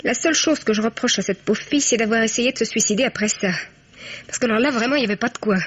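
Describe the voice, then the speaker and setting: A brash young student, muffled vintage radio. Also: female voice, on the high side, quick pace, husky. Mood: bitter, defiant. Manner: frank, cheeky, colloquial. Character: brash, wry young student daughter, freshly jilted. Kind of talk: 1950s French radio mystery acting. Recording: dull vintage radio recording.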